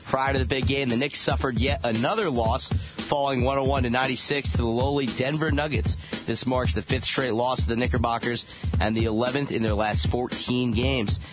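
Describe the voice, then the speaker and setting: Young man anchoring scripted sports update, slightly lo-fi radio sound with music behind. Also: medium pitch. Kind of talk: scripted news read. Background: music bed.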